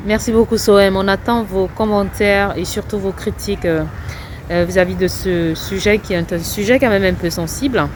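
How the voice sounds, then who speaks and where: Woman, mid-pitched voice, interviewer, short prompts, faint traffic behind. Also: moderate pace. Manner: brief prompts and back-channel murmurs. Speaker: co-host, interviewer. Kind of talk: casual interview.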